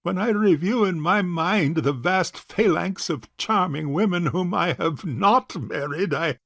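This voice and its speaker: An actor playing a middle-aged bachelor uncle in a stage farce, courtly, hesitant and long-winded. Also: male voice, high-pitched, measured pace, gravelly, a bit bright, no echo, clean studio sound, exaggerated voice. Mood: courtly, amused. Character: suave, hesitant rich older bachelor uncle. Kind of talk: stage farce audio drama acting.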